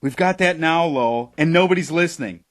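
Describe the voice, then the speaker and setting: An actor playing a pushy, sardonic boss of a struggling internet radio company in an audio drama. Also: male voice, fairly high pitch, fairly quick, natural voice. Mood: pressing, worried.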